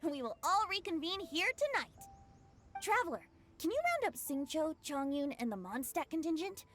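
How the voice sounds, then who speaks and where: A boyish young bard from an anime game, very high in pitch with lively, swooping intonation. The character is breezy and carefree, teasing lightly and speaking in lyrical turns of phrase. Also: female voice, normal speed, soft background music. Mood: cheerful, lyrical.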